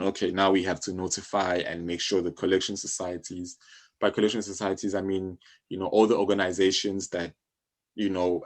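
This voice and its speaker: Low-voiced South African man explaining things casually. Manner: explanatory, conversational, uses everyday examples. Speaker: interview guest, music publishing company co-founder. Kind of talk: casual interview talk.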